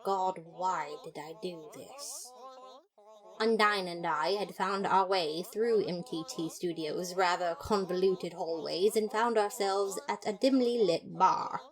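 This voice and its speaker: A woman reading scripted first-person fiction in a dramatic, expressive narrator's manner. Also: fairly low pitch, slow pace. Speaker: podfic narrator.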